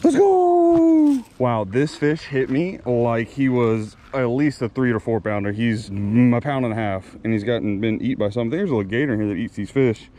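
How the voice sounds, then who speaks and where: A man's voice: mid-range voice, moderate pace, a little hiss or hum. A young man fishing with a friend at an outdoor pond, talking casually and calling out with lots of laughter. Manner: lively, calls out and laughs. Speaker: fishing partner. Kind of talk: casual chat.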